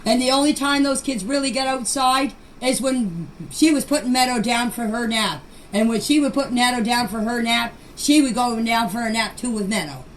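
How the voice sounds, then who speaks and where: An older woman with a high-pitched voice shares personal memories. She talks in a plain, folksy way and circles back to repeat phrases. The amateur voice clip carries some background noise. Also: moderate pace, few pauses, rough recording. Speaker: grandmother recounting memories. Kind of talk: informal personal recollection.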